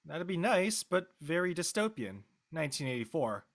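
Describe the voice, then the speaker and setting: A man playing a blunt, skeptical and slightly awkward high school boy in a script reading. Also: very animated, moderate pace, a bit bright, exaggerated voice. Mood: awkward, casual. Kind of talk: casual live script reading.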